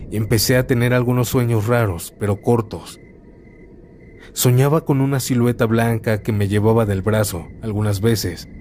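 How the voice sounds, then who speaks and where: A man narrates horror stories in a calm, steady first-person read. His voice sits a little low and has a warm, mellow tone. A faint noise runs under the recording.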